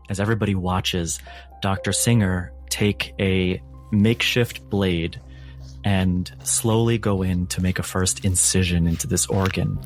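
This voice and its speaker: Lowish-voiced man running a tabletop game. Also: darkish tone. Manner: descriptive, measured storytelling. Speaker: game master.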